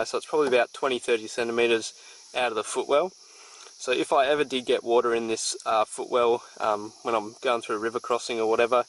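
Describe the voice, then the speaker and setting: A young man talks through a car job in a relaxed, chatty way, dropping in lots of little fillers as he goes. His voice sits at an ordinary middle pitch. He is recorded outside, with clearly audible crickets and insects in the background. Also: poor audio. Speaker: DIY car channel host. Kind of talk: casual how-to demonstration. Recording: outdoor ambience with insect noise.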